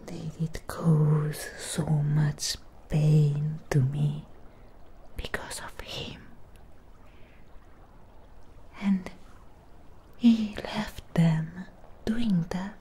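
A woman voicing a lonely, possessive female Frankenstein creature in a close-up ASMR roleplay, whispering in breathy, halting, intimate phrases. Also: sits low, steady tone, warm tone, heavily acted. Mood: wounded, resentful. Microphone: close microphone. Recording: close-up with clear background noise.

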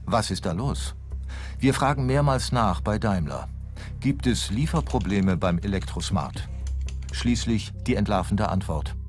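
A man's voice: on the low side, lively intonation, a little slow. A man narrating a documentary in a probing, questioning tone. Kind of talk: scripted narration. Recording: voice-over over music.

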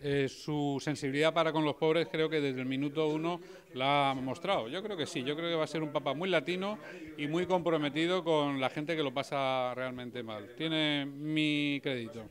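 A middle-aged man with a slightly high voice for a man. He chats informally as an interviewee, indoors, into a reporter's handheld mic. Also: steady tone, moderate pace. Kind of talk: casual interview answers. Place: indoor lobby. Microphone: handheld reporter microphone.

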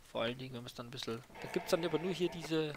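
A man's voice: on the high side, rapid-fire. A young man casually talking through a truck game while driving, chatty with lots of fillers and tag questions. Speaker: gaming YouTuber presenting a mod. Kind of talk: casual gameplay commentary. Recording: noisy game sound behind voice. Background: truck engine and game ambience.

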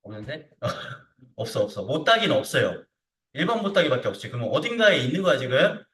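A man teaching a hands-on software lesson step by step, informally, often checking with short tag questions that the learner is following. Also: medium pitch, sing-song, brisk. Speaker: CAD instructor. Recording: roomy echo, distant microphone.

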